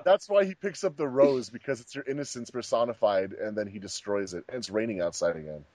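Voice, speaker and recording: A man joking around in casual group commentary while watching a movie, quick to point things out. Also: on the low side, darkish tone. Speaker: co-host.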